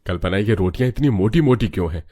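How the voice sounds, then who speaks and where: Cartoon family dad, played by a man: easygoing, middle-aged, low and husky. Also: animated, quick pace, dark tone. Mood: pleased, hungry.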